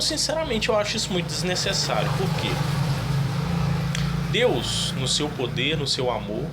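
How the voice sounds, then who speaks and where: A man's voice: mid-range voice, noisy background. A man giving his opinion in a casual monologue, earnestly reading Bible verses aloud. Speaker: religious video creator.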